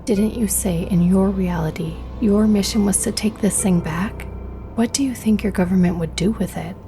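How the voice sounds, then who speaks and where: A woman playing a weary, wounded but caring agent in a sci-fi horror audio drama, with a breathy, earnest delivery. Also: medium pitch, normal speed, mellow, lightly acted. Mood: urgent, wary. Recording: music under the voice. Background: music.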